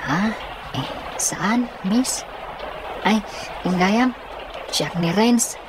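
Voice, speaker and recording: A woman's voice: mid-range voice, expressive, extremely slow. A woman playing a kind, humble and helpful youth in an Ilocano radio drama, earnest and full of exclamations. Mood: shy, surprised. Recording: noisy, with street and vehicle ambience.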